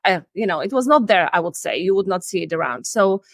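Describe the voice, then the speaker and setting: A woman's voice: fairly low pitch, lively intonation, fast, no room sound. Earnest Polish-accented woman, dry sound. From Poland. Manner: earnest, reflective, slightly non-native phrasing. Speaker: life and relationship coach guest. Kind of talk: candid personal storytelling. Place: home room, indoors. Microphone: video call.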